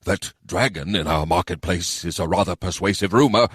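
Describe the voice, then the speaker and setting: A man's voice: low-pitched, moderate pace, a bit bright, husky, dry recording, studio quality. A man voicing a scheming, irritable goblin mayor in an audiobook, grumbling and giving orders. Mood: scheming, commanding. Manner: grumbling, commanding. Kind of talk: audiobook character voice.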